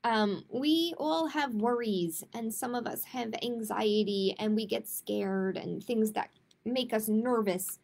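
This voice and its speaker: A woman hosting a children's storytime, reading picture books aloud and chatting to families in an expressive way. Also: on the high side, takes it slowly, gravelly. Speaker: children's librarian, storytime host. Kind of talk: picture book read-aloud and chatty announcements to young families.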